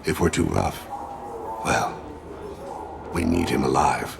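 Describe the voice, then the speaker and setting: An actor playing a solemn, patient alien assassin in a video game, with a gravelly voice and a measured, grave manner. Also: male voice, very deep voice, music in the background, heavily acted. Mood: grave, thoughtful.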